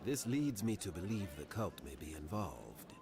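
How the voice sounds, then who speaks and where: An actor playing a grave, formal man who gives out quests in a fantasy game, with measured, old-fashioned phrasing. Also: low voice, sing-song, creaky, a crowd in the background, exaggerated voice. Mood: measured, advising. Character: grave, formal man directing a hunt for cultists. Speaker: quest-giving game character. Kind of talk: scripted fantasy game dialogue.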